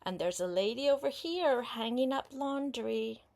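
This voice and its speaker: An older woman reading a picture book aloud to a child like a grandmother, unhurried and expressive, pausing to explain words and ask questions. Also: a little high, vocal fry. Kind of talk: storybook read-aloud with chatty asides to a young listener.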